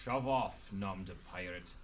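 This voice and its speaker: A man playing an earnest, quick-witted superhero swordsman in a radio drama. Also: low-pitched, a little hiss or hum. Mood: dismissive.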